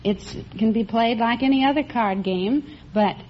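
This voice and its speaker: A woman's voice: on the high side, normal speed. A young American woman from California politely answering questions as a contestant in an old radio interview. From California, United States. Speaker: contestant, maths teacher. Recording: dull, hissy old radio recording.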